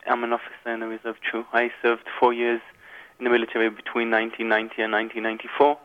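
Flat-voiced young man on a phone line. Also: mid-range voice, rapid-fire. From Israel. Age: 20s. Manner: hesitant, monotone, many fillers. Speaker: guest, reserve army officer. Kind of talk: interview answers.

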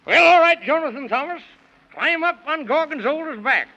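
Very high, excitable moon man. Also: moderate pace. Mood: hurrying, bossy. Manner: excitable, chuckling, bossy. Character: fussy, chirpy little Man in the Moon. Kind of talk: 1930s children's radio serial acting. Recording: muffled vintage 1930s radio recording.

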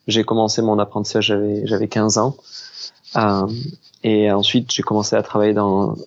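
A man in his thirties from southwest France, a pastry chef telling his story in a relaxed, unhurried way in an interview. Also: lowish voice, highs cut off. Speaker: pastry chef and business founder. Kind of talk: casual interview conversation.

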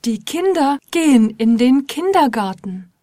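A woman playing a determined mother in a slow, clearly spoken scripted dialogue. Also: high-pitched, sing-song, dark tone, dry recording, clean studio sound. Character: determined mother wanting to return to work.

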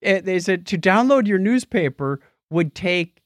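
A middle-aged man banters casually as a podcast co-host, teasing and wisecracking as he comments. His voice is somewhat high for a man and very animated in pitch, captured dry and without room echo. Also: measured pace.

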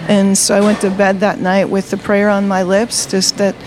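A woman's voice: medium pitch, completely flat delivery, normal speed, a little mellow, soft background music, low-quality recording. A woman in her sixties from Delaware, a retired teacher, telling her faith story cheerfully and laughing often in a coffee shop. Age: 60s. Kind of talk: personal testimony in conversation.

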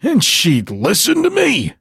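A man's voice: high-pitched, wildly swooping pitch, slow, vocal fry, a bit bright, breathy edge, dry recording, studio quality, pitched above the performer's own voice, heavily acted. A man voicing a frail, rambling old grandfather who tells a horror story in the first person. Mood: gruff.

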